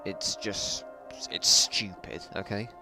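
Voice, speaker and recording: A man's voice: sits low, steady tone, takes it slowly, over music. A man reading a story aloud in a mock-dramatic style, amused and breaking off into mocking asides. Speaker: reader of own old story.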